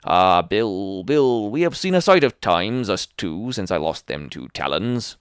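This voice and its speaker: A man's voice: on the low side, big pitch swings, unhurried, smooth, no room sound, studio quality. A man reading an audiobook, voicing a fawning, sneering old pirate with a maimed hand who coaxes and threatens by turns. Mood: sly. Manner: fawning yet sneering, coaxing. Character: wheedling one-handed old pirate man. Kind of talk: audiobook character voice.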